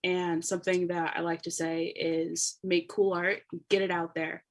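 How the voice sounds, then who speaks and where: Female co-host and writer introducing a live show. Lower voice, casual, effusive. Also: level tone, measured pace. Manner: gushing, informal.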